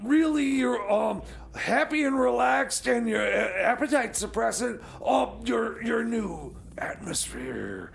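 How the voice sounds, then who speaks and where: A man's voice: extremely high pitch, sing-song, slow, creaky, few pauses. A man co-hosting a comedy radio show, playing a flustered, eager bunker sidekick who stammers and hesitates. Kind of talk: improvised comic banter.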